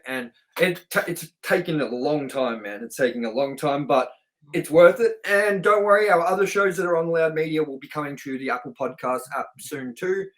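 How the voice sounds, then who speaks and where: A man with a somewhat higher-pitched voice hosts a film review show. He talks casually and off the cuff, addressing listeners directly in an informal, chatty way. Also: fairly bright tone. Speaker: co-host of a movie review show. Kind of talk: casual unscripted chat and show sign-off.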